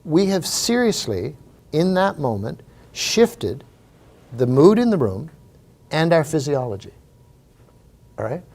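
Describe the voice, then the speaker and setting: A middle-aged Canadian man giving a comic motivational talk to a live audience, telling stories in an animated way and repeating phrases for emphasis. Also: a little high, slow pace, long pauses. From Maritime Canada. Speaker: humorous keynote speaker.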